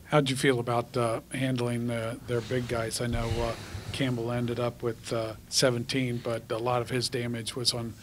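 A man reporting on basketball, asking a coach questions at a press conference, opening with stats and pausing with uhs. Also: medium pitch, level tone, slight background noise. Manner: stat-led questions with uh pauses.

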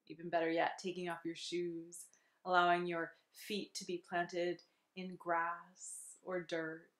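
A woman leading a guided meditation in a soothing, unhurried, gently instructive way. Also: lowish voice.

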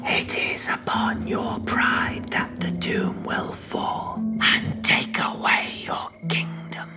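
A performer voicing a solemn, ominous prophet to a king in an audio play, in a breathy, incantatory whisper. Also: slow, music in the background, lightly acted. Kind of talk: dramatic audio play acting.

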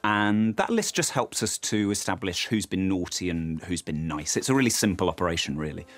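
Deep-voiced, flustered Christmas elf. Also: male voice, animated, brisk. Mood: mildly flustered. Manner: polite, corporate, self-correcting. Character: earnest, flustered Christmas elf explaining things.

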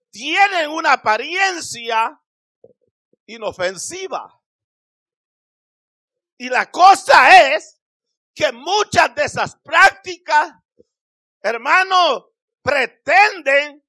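Fervent man preaching in bursts. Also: extremely high pitch. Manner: emphatic, drawn-out phrases with shouted peaks. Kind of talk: Spanish-language Pentecostal sermon. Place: small church hall, indoors. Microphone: handheld microphone.

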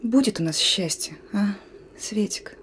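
An actress playing a young woman partisan with a gruff commander's front and a wistful heart, in a radio play. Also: medium pitch, expressive, a little slow, whispered, close to an everyday voice. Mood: wistful, pleading.

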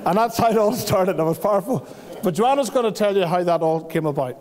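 A middle-aged man giving an animated personal testimony at a church pulpit, with bursts of excitement. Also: sits high, fast talker. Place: church hall at a wooden pulpit. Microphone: pulpit microphone. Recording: long hall reverb from a church.

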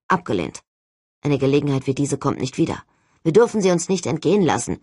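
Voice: mid-range voice, very animated, fairly quick, a little mellow, slightly rough, no room sound, clean studio sound. Narrator voicing a commanding, daring young male team leader. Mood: determined, urgent.

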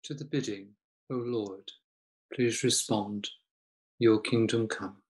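A man's voice: lowish voice, takes it slowly. An older man reading intercessory prayers aloud as prayer leader, recorded in a room at home. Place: small room at home with bookshelves.

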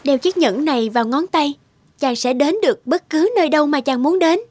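A woman as a gracious, high-voiced fairy-tale queen. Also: brisk, a little mellow, big-room sound, lightly acted. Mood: tender. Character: gracious young queen under a snake curse. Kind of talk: animated fairy-tale dub. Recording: music behind the voice.

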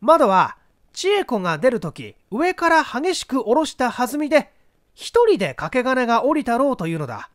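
Man acting an excitable, high-pitched detective. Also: moderate pace, no echo, clean studio sound, full character voice. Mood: insistent, exasperated. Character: excitable male detective retelling a theory, brisk and insistent. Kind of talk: literary audiobook character dialogue.

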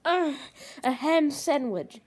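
Woman host of a homemade puppet cooking show: high, unhurried, hesitant delivery, recorded close on a handheld camera at the kitchen table. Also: animated, darkish tone. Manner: hesitant, halting, lots of ums. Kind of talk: improvised puppet comedy.

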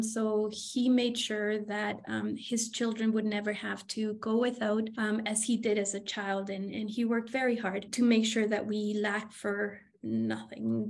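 An older woman, a guest on a video-call interview, telling her life story in a reflective, measured way. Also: mid-range voice, gravelly, darkish tone. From Chile. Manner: reflective, measured storytelling with frequent repeated words. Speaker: guest, union vice president. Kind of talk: conversational interview. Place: home room, video call.

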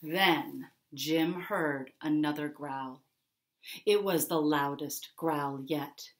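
Woman reading a picture book, unhurried. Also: low voice. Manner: dramatic, with deliberate pauses and emphasis. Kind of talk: expressive children's story narration. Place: small furnished room at home, indoors.

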